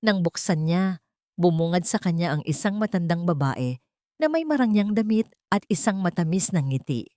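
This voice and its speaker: A woman's voice: on the low side, expressive, moderate pace, warm tone, dry recording, studio quality. A woman narrator reading a scripted story in a dramatic style.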